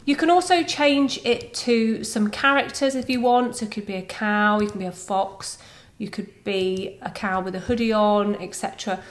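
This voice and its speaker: A woman with a mid-range voice presents a software tutorial. She explains each step in a conversational, informal way, as a presenter talking directly to viewers. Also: medium pitch, normal speed.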